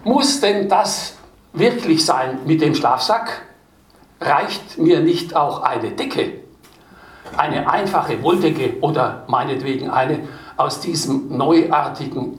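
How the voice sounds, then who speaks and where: Self-mocking man reading aloud, distant. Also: on the high side. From Baden, Germany. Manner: self-deprecating, chuckling storyteller. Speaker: author reading from his book. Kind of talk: live book reading with anecdotes. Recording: distant mic in an echoey room.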